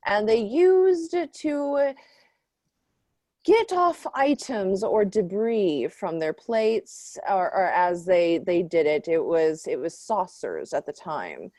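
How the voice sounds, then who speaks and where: A woman plays an earnest archaeologist giving rambling expert answers in improv comedy. Her delivery is very slow and halting, recorded dry with little room sound. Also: mid-range voice, expressive, warm tone, no room sound. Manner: halting, mock-scholarly. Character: earnest, rambling female archaeologist panelist on news debate.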